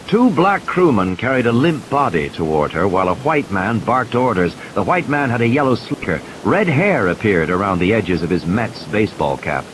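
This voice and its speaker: Expressive male audiobook narrator with animated pitch. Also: normal speed, hardly any pauses. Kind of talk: dramatic scripted narration. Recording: hissy, noisy background.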